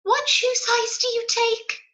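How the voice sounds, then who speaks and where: A helpful female storybook friend, read by a woman, polite and reassuring. Her voice is high and bright, with encouraging, lively phrasing. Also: slow, exaggerated voice.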